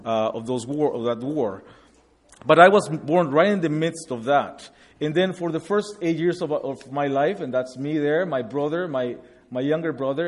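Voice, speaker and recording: Halting man in his forties. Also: fairly high pitch. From Guatemala. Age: 40s. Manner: halting, with many restarts and fillers. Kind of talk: personal testimony talk.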